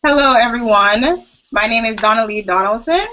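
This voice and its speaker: Woman from Jamaica, confident self-introduction, bright tone. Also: fairly high pitch, lively intonation, moderate pace. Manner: confident, a few fillers. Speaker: student. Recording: slightly muffled recording.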